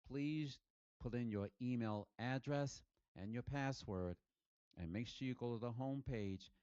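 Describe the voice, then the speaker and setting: A man's voice: mid-range voice, unhurried, a little mellow, smooth, no room sound. A man reading a promo appeal for his podcast, pleading directly and repeatedly with listeners. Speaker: podcast host. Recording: dull, dry lo-fi recording.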